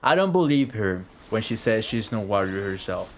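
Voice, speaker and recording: A man's voice: fairly low pitch, sing-song, normal speed. A man giving casual live-stream game commentary in slangy, chatty language with plenty of swearing. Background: game music.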